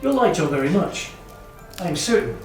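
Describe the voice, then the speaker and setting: Male actor as hopeful, teasing Victorian brother, formal and wordy, lightly high voice, recorded far off. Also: sing-song, normal speed. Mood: earnest, hopeful. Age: 30s. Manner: formal, wordy, earnest phrasing. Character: kindly, teasing, hopeful brother in his thirties, Victorian gentleman. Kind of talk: stage-style literary radio drama acting. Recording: very echoey, distant, music behind.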